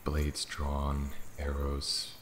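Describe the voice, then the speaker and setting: Very deep ancient dragon, voiced by a man, echoing. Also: completely flat delivery, slight background noise, heavily acted. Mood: calm, wry. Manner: slow, deliberate, theatrical, breathy near-whispers at times. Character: ancient aloof dragon in elven-looking male mortal form, amused, proud. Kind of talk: ASMR fantasy roleplay. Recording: roomy echo, faint nature ambience with crickets.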